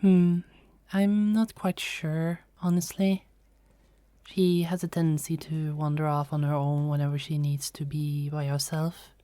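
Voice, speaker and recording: A woman's voice: low-pitched, flat delivery, a little slow, mellow, dry recording. A woman playing a teasing, kindly Norse goddess in a conversational fantasy audio roleplay. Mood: gentle, chatty. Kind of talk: fantasy ASMR roleplay acting.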